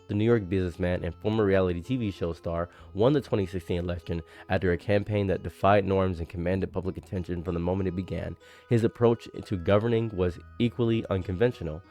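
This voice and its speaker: A man's voice: deep voice, darkish tone. A young man from Egypt who moved to America as a child, giving casual, emphatic political commentary as a streamer, sometimes reading aloud and repeating phrases for emphasis. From Egypt, moved to America at age eight. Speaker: streamer and commentary video creator.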